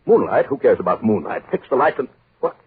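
A man's voice: medium pitch, very animated, a little fast, breathy edge. An actor playing a pompous, irritable wealthy middle-aged man who blusters, pleads and repeats himself, in 1940s American radio horror style. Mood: irritated, blustering. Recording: muffled old 1940s radio recording.

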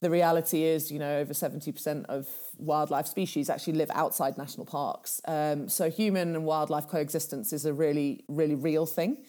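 A woman in her thirties from Kenya answers interview questions in an unhurried, reflective way. Her voice sits low and sounds mellow and soft. She often pauses on fillers and restarts her sentences. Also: steady tone. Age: 30s. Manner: thoughtful, many fillers and restarts. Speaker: guest, charity founder. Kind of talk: casual interview talk.

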